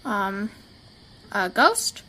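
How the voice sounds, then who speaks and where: A woman playing a reserved, brooding teenage girl in an amateur audio drama, measured and guarded in manner. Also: medium pitch, sing-song, pushed and bright, heavily acted. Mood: evasive.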